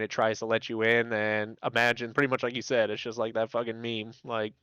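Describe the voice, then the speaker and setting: A man's voice: on the low side, fairly bright tone, smooth, no echo, highs cut off. A man chatting casually about games in a laid-back, slangy way, swearing often as he explains things. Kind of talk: casual gaming chat.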